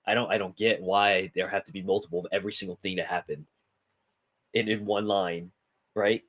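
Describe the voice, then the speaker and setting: A man's voice: low-pitched, fairly even intonation, brisk, fairly bright tone. A man chatting casually as a panelist on a movie show, blunt and exasperated, repeating himself for emphasis. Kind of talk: casual chat.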